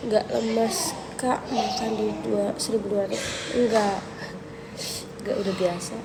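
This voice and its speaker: A young woman, slightly under the weather with a cold, talks casually to her viewers. Her voice has a gravelly, creaky edge. The livestream audio carries clear background noise. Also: mid-range voice, unhurried. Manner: chatty, relaxed, thinks aloud with fillers. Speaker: livestream host answering viewers. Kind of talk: casual live chat reading viewer questions.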